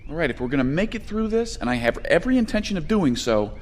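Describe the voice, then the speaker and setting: A man's voice: a little high, very animated, quick pace. An actor playing a pragmatic, determined male survivor of a zombie outbreak in an audio drama. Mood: insistent, determined. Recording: reverberant hall, clear background ambience.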